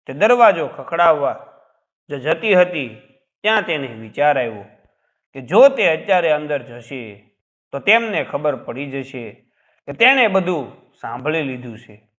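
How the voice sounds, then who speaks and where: A man narrating a scripted dramatic story in a suspenseful, expressive way. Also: fairly high pitch, unhurried, long pauses.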